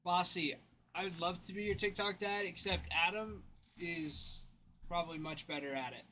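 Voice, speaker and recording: A man with a bright, fairly high voice, chatting casually on a stream. He is easygoing and chuckles a lot, with faint noise behind him. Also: moderate pace. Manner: easygoing, chuckles between lines. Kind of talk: casual livestream banter.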